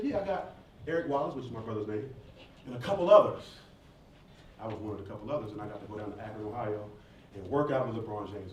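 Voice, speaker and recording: A man answers audience questions as a performer, telling stories in a casual, joking, informal way. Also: mid-range voice. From southern United States. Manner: joking, informal, animated storyteller. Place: echoey indoor event space with audience. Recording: echoey room, distant mic, background noise.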